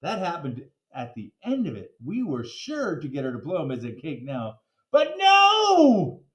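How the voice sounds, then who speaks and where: Male children's book reader: very expressive swings in pitch, animated narration, roomy sound. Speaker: story reader.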